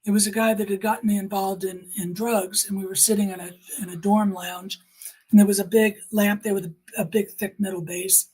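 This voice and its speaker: Older woman with a husky voice. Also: medium pitch, flat delivery, a little fast, darkish tone, poor audio. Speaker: interview guest. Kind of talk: personal testimony in conversation.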